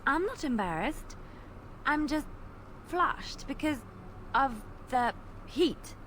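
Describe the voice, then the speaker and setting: A woman playing a gentle, bashful bard adventurer in a fantasy video game, earnest, hesitant and playful. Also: high voice, lively intonation, takes it slowly, full character voice. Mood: flustered, teasing. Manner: soft-hearted, hesitant, earnest. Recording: clearly noisy game playback.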